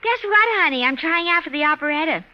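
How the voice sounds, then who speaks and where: Naive housewife, chirpy, high-pitched, very animated, wheedling, vintage radio comedy, muffled recording. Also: female voice, quick pace. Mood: chirpy, eager. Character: ditzy, eager young housewife dreaming of singing stardom. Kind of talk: 1950s radio comedy advertisement.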